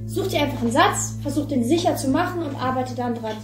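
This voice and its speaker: A woman's voice: high voice, quick pace. A woman on a casting team, casually coaching and giving feedback at an audition. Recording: echoey room, distant mic, music behind.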